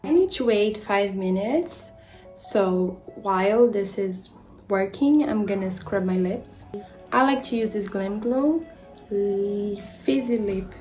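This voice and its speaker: A woman's voice: mid-range voice, takes it slowly, dark tone, soft background music. A woman in her twenties with a Brazilian accent, a model casually talking through a skincare routine, with frequent "like" fillers and stumbles over product names. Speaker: beauty vlogger and model.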